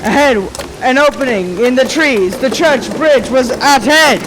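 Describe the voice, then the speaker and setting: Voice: high-pitched, lively intonation, measured pace, noisy background. A young student narrating a ghostly tale for a school radio play in a formal, dramatic storytelling manner. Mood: suspenseful. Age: child or young teen.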